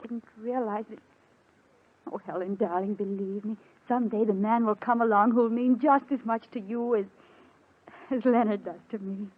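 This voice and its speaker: Woman playing a soft, gushing young wife, tinny recording. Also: medium pitch, steady tone, normal speed, close to an everyday voice. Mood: anxious, hurt. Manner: gushing, tender. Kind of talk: old-time radio melodrama acting. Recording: tinny, narrow-band old radio recording.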